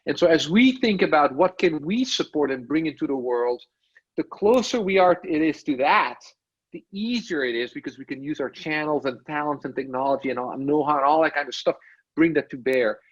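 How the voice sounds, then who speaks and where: Belgian-born tech guest, husky slightly high voice, dull lo-fi line, rambling reflective chat. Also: male voice, expressive, a little fast. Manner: thinks aloud, frequent "right?" and restarts. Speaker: podcast guest, tech studio lead. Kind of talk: casual interview talk.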